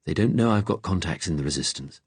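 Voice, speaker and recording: Man playing a steady, kindly, brisk vicar. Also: deep voice, breathy edge, dry recording, exaggerated voice. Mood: gentle, steady. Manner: gentle but brisk, direct. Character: kindly, weary male vicar, calm and firmly commanding.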